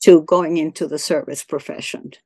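Older woman, halting friendly talk. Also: low voice, steady tone, a little mellow, dry recording. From Venezuela. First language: Spanish. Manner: hesitant, many fillers and restarts, laughs easily. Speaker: interviewee. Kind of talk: conversational oral-history interview.

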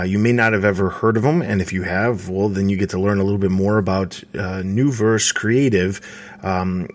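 A man hosting a podcast, giving a casual, somewhat halting introduction. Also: low-pitched. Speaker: podcast host. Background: faint music.

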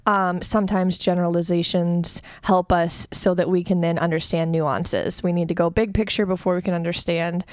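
A woman's voice: lowish voice, little pitch movement, moderate pace, few pauses, no room sound. A woman, co-hosting a casual podcast, speaking thoughtfully with many hesitations. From Eastern Iowa, USA. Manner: thoughtful, hedging, many ums. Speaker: podcast co-host.

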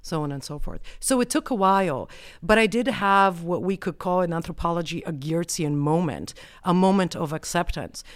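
Smooth-voiced woman anthropologist explaining. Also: fairly low pitch, no echo, studio quality. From United States. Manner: measured, explanatory, frequent um and right. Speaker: anthropologist guest. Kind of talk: academic interview answers.

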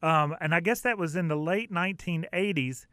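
Man, radio host, slightly high voice, interview delivery, dry studio sound. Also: normal speed, pushed and bright, no echo.

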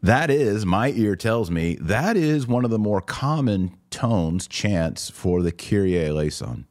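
A man with a low-pitched voice hosts a podcast. He comments casually and conversationally, thinking aloud as he goes. The sound is dry, with hardly any room in it. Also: expressive, unhurried, a little mellow, no echo. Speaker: podcast host. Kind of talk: casual commentary on a video clip.

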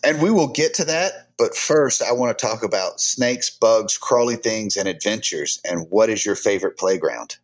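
A man's voice: lowish voice, lively intonation, moderate pace, hardly any pauses. Dry-joking male podcast host. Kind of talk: casual podcast chat.

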